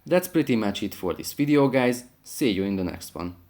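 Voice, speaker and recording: A man's voice: on the low side, very animated, moderate pace, a little mellow. A man hosting a tutorial, narrating step-by-step instructions from a script. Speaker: tutorial host.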